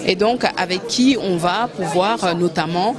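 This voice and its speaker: A woman's voice: on the low side, unhurried. A woman from DR Congo, a trade facilitator, answering an interview in a hesitant way, with many fillers and restarts. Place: busy conference hall. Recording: crowd chatter behind.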